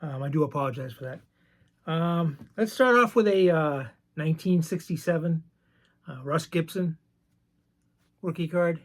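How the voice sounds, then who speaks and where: A man with a gravelly voice from medication, hosting a casual show-and-tell of his vintage baseball cards. He talks in an easygoing, chatty way and often wanders into side stories. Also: fairly high pitch, unhurried, pauses often. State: voice roughened by medication. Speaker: vintage card collector and channel host.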